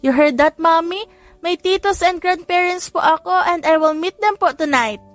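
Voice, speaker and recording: Woman voicing a high, sweet little boy. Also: normal speed, dry recording, soft background music. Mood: eager. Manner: polite, childlike, careful. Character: sweet, polite, eager six-year-old boy. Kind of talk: audiobook character voice.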